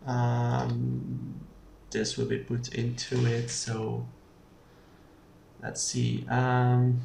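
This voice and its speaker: A screencast tutor talks slowly and haltingly, working through code out loud. The sound is echoey, with clear background noise and the clicking of keys as they type. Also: medium pitch, completely flat delivery. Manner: halting, thinking aloud while typing. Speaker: programming tutorial presenter. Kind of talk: unscripted screencast coding tutorial. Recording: roomy, distant mic with clear background noise. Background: keyboard typing and mouse clicks.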